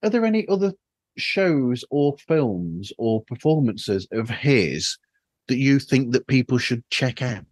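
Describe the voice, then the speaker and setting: A man's voice: medium pitch, very animated, unhurried, darkish tone, no room sound. Dry-sounding male podcast interviewer. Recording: flawed microphone sound, dry.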